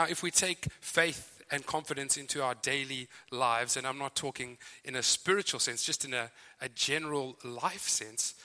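A man's voice: mid-range voice, pushed and bright. A man with a Zimbabwean accent, preaching a sermon as a visiting speaker in a friendly, chatty way with fillers and asides. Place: church hall. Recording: church hall reverb.

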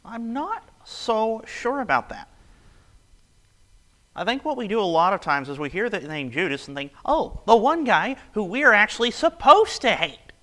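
A man preaching a casual, conversational sermon, his voice raspy and hoarse from a cold. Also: on the high side, pushed and bright.

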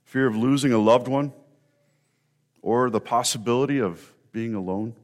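A male pastor delivering a sermon to his congregation. His voice sits at an ordinary middle pitch, and he speaks earnestly, building up emphatic repetitions to drive a point home. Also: moderate pace.

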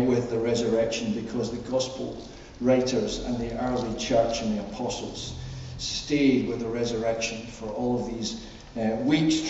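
A man's voice: mid-range voice, warm tone. A man leading a church service, speaking informally to a congregation or reading scripture. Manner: chatty, off the cuff. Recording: echoey hall, distant, dull, faint noise.

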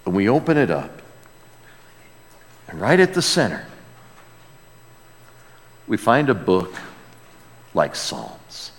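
A man's voice: lowish voice, huge pitch swings, takes it slowly, long pauses. A man preaching a conversational sermon as a pastor, in a folksy way with rhetorical questions to his listeners. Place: large reverberant room, preaching to a congregation. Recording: long hall reverb.

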